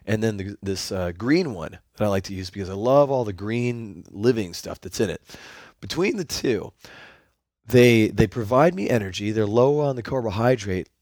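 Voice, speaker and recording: A man hosting his own podcast, talking solo in an upbeat, enthusiastic coaching tone, his voice hoarse. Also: fairly low pitch, moderate pace, a little mellow, no room sound. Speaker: podcast host.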